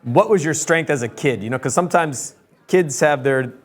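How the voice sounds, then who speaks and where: Guy hosting a chat, kinda high voice, lots of yeahs. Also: normal speed. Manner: friendly, encouraging, chimes in with short agreements. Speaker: interview host. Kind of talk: casual interview conversation.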